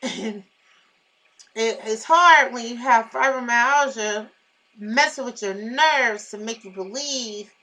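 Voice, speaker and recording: A woman with a naturally loud voice tells a personal story to her viewers, venting in a blunt, emphatic way. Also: mid-range voice, rough recording. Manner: blunt, emphatic, animated retelling with repetitions. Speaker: vlogger.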